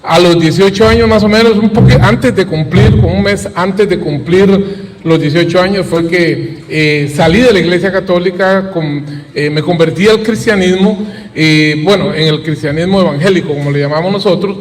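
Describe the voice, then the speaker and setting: Costa rican guy late 50s, high voice, preaching to people in a big echoey hall. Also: normal speed. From Costa Rica. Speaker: evangelical preacher. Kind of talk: testimony and preaching to an audience. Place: large hall with an audience. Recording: echoing hall.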